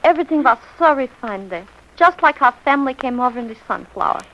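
Blithe social-climbing mother, middle-aged; chatty, a bit high, muffled vintage radio comedy. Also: lively intonation, fast, a bit bright. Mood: proud, breezy. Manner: chatty, pleased with herself, blithe. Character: breezy, social-climbing middle-aged mama mangling fancy words. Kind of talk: 1940s radio comedy acting.

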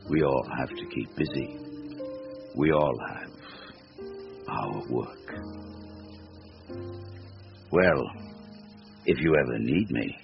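An actor playing the Devil in a radio drama as an ancient, world-weary tempter, slow, deliberate and wry. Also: male voice, rumbling low, vocal fry, full character voice. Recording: muffled radio recording with music behind.

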